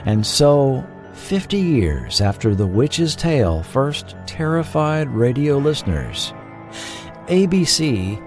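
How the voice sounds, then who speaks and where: A man hosting a podcast, reading scripted documentary narration with measured, dramatic phrasing. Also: medium pitch, very animated, darkish tone. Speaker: podcast host. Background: faint background music.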